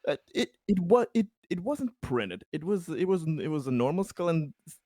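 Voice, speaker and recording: A man playing a tabletop game, talking casually in a halting, hesitant way and repeating words. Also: a little high, sing-song, warm tone, no room sound, studio quality.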